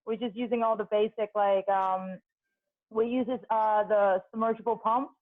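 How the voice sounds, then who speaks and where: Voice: mid-range voice, steady tone, normal speed. Hesitant speaker on a tinny call. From Hong Kong. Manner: hesitant, many fillers. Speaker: student at a marine science school. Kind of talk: casual video call introduction. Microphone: video call. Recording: tinny phone-like call audio.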